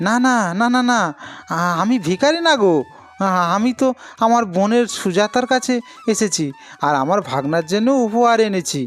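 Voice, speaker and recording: A man's voice: sits high, expressive, moderate pace, pauses often, voice pushed up. A man as a humble, earnest poor village brother. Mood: hopeful. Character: poor, self-sacrificing, humble elder brother, a village labourer. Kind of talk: Bengali moral-story cartoon acting.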